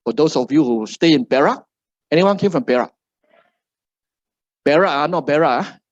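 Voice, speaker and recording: A man's voice: fairly high pitch, lively intonation, normal speed, dry recording, dull audio. Middle-aged Malaysian man, "right?" after every phrase. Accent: Malaysian English. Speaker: motivational speaker. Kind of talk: seminar talk to a live audience.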